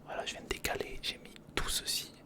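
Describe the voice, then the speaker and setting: A man giving whispered ASMR commentary on a hands-on build, murmuring to himself as he thinks and chatting informally to the viewer. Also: fairly quick. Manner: murmurs to himself while thinking through steps; informal, chummy address to the viewer. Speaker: ASMR video host.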